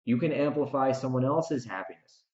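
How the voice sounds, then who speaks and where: Male podcast host, off-the-cuff opinionated rant, emphatic repetition and dramatic pauses, roomy and slightly dull sound. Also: mid-range voice, a little mellow. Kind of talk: unscripted opinionated podcast monologue.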